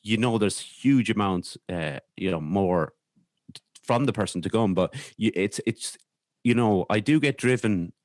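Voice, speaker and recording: An Irish man speaking candidly as a podcast guest, in a hesitant, halting way with repeated words. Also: fairly low pitch, darkish tone, no echo, clean studio sound. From Ireland. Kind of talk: candid conversational interview.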